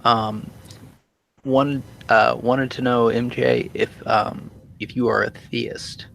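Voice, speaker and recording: A man in a casual online group chat, relaying viewer questions in a halting way that repeats phrases. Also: mid-range voice, little pitch movement, a bit bright, rough recording. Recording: clear background noise on online call.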